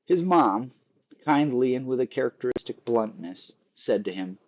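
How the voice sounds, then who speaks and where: A man narrating a book in a measured storytelling way, with dry humor. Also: medium pitch, animated, highs cut off. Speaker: author reading a book aloud. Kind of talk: scripted narration, book read-aloud.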